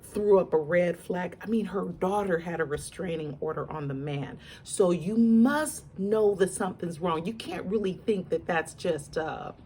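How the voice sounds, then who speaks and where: A woman's voice: fairly low pitch, a little mellow, a little hiss or hum. A woman giving casual celebrity gossip commentary in a conversational way, repeating words for emphasis and addressing her viewers directly. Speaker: online commentator.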